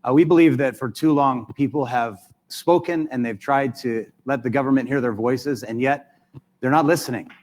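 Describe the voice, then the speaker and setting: A man's voice: mid-range voice, normal speed, darkish tone. A middle-aged man from Hawaii introducing himself as a mayoral candidate, folksy and hesitant with frequent fillers.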